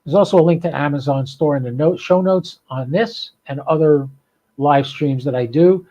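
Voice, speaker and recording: A man's voice: fairly high pitch, moderate pace, mellow, muffled recording. An American man hosting a casual, unscripted livestream, talking in a folksy, rambling, informal way. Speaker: podcast and livestream host.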